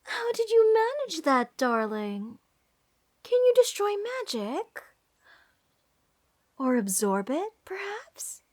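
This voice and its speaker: A giddy, slightly mad female spellcaster, played by a woman in a fantasy ASMR roleplay. Her voice sits high and she takes her time over the words. She rambles and gushes adoringly, with a sweet menace underneath. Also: sing-song, takes it slowly, pauses often, exaggerated voice. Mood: thrilled, infatuated. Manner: breathy gasps, gushing, rambling, sweetly menacing. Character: giddy, unhinged female mage who adores cursed monsters.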